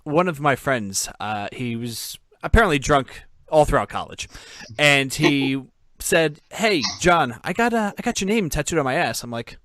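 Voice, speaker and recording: A man's voice: a little high, lively intonation, normal speed, fairly bright tone, dry recording, studio quality. A man from New Jersey hosting a podcast, chatting casually over a call, laughing often and telling stories full of fillers. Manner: laid-back, laughs often, filler-heavy storytelling. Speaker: podcast host. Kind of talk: casual interview chat.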